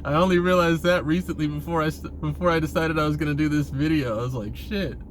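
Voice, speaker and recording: A man's voice: a little high, fairly even intonation, quick pace. A man giving a casual, rambling personal monologue in an informal, profane way, chuckling now and then. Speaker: vlogger talking about himself. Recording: clear vehicle-like ambient noise.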